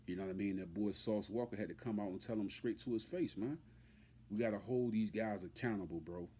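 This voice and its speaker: A man's voice: deep voice, mellow, a little hiss or hum. A man giving an unscripted, emphatic and indignant opinion rant as a hip-hop commentator, repeating himself and using street slang.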